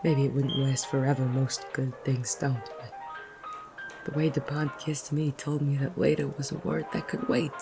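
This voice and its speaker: Music underneath, actor playing male noir detective, gruff, wry, smitten, teasing, plain-spoken, mid-pitched, audio drama. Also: little pitch movement, moderate pace, close to an everyday voice. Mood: wistful, tender. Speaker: narrator and lead character. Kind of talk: noir audio drama, first-person narration and banter.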